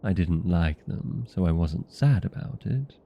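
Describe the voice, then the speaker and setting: Guy doing a deep gravelly old vampire, vain n chatty, hammy but polite, comedy roleplay audio, kinda muffled recording. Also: lively intonation, a little slow, full character voice. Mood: chatty, hospitable. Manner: theatrical, self-aware, chatty and courteous.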